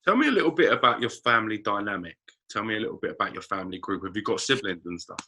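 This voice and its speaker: A male host with a very bright, sharp tone and a slightly low pitch. He steers the conversation with calm, leading questions in an interview setting. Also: racing. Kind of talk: podcast interview.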